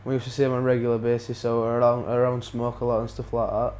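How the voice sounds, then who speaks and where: A man's voice: mid-range voice, monotone, quick pace, darkish tone. A teenage man, interviewed, talking casually and hesitantly. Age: teens.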